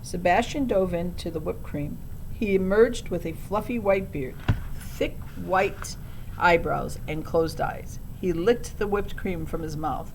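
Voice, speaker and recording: Female storybook reader, slightly low voice, some rumbly background noise. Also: measured pace, a little mellow, rough recording. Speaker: audiobook reader. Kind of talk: reading a children's storybook aloud.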